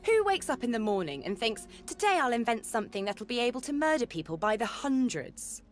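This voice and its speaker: An actress playing an appalled, sardonic adventurer in a video game. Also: female voice, on the high side, big pitch swings, fairly quick, faint music behind.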